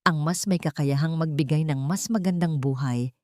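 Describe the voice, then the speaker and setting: A Filipino woman narrates a scripted dramatic story. Her voice sits fairly low for a woman. The sound is very dry, with no room around it. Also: moderate pace, a little mellow, dry recording.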